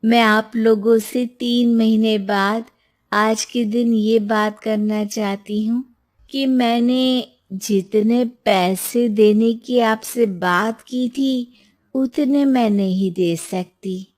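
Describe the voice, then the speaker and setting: A woman's voice: a little high, fairly even intonation, slow, darkish tone. A woman voicing a determined, principled young businesswoman in a moral tale, earnest and firm.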